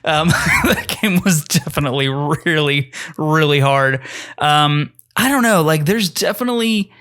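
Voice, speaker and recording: A man's voice: a little high, lively intonation, measured pace, sharp tone. A man co-hosting a podcast in casual, bantering conversation. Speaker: podcast co-host.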